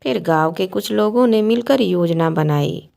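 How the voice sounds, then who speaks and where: A woman's voice: lowish voice, expressive, moderate pace, gravelly, darkish tone. A woman narrating a scripted cartoon bedtime story as the storyteller.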